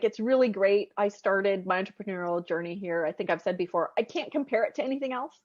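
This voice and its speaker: A woman's voice: mid-range voice, highs cut off. A woman hosting a podcast interview in a casual, chatty, informal way. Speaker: podcast host.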